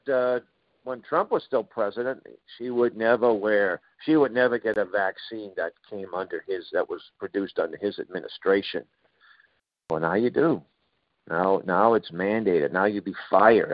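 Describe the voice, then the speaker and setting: A middle-aged man delivers a talk radio monologue, sarcastic and halting, full of uhs and restarts. His voice sits at an ordinary male pitch. It comes through a dry, dull lo-fi radio sound. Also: no room sound. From Boston, Massachusetts. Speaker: radio talk show host.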